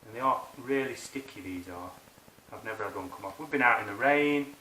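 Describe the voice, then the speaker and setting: A man's voice: on the low side, fairly quick, sharp tone. A man giving a casual, chatty hands-on tutorial in informal, colloquial language. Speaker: motorbike rider presenting a how-to video. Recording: roomy, distant mic, clear background noise.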